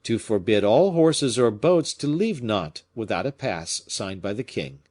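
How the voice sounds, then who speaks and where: A man voicing a shrewd, courteous musketeer captain in an audiobook, speaking in a measured, pointed, formally polite way. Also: on the low side, expressive, a little mellow. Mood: feigned casualness. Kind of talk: audiobook character acting.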